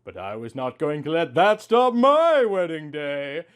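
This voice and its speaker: Theatrical male story reader, swooping pitch. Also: very animated, measured pace, clear, resonant tone. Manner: exaggerated, dramatic emphasis. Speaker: host reading amateur fan fiction. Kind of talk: theatrical mock-serious story reading.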